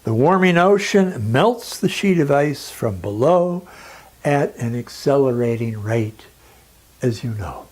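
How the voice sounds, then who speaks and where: A man delivering a scripted, persuasive lecture in a solemn, emphatic manner with dramatic pauses. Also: medium pitch, expressive, a little mellow.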